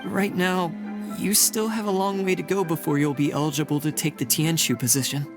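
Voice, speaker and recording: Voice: mid-range voice, fairly even intonation, a little fast, close to an everyday voice. An actor playing a sensible young colleague. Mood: earnest. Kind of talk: game character dialogue. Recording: game audio with music behind.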